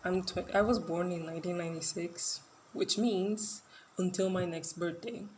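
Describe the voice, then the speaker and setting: A woman's voice: fairly low pitch, steady tone, moderate pace, darkish tone, slight background noise, poor audio. A woman in her twenties talking in a casual solo podcast monologue, rambling and hesitant with many fillers and restarts. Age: 20s. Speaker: podcast host.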